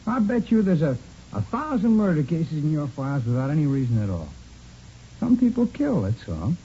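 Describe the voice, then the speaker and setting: Chatty murder suspect, very dark mellow tone, swinging intonation, casual, unrepentant, rambling, old radio crime drama. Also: male voice, a little high, very animated, moderate pace. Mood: chatty, self-satisfied. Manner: rambling, casual, repeats himself with stammered restarts. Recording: muffled, noisy vintage radio recording.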